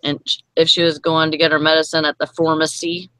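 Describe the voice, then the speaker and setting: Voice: mid-range voice, level tone, fairly quick, pushed and bright, clean tone, no echo, poor audio. A speaker chatting casually and telling a story about family. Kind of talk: casual group chat.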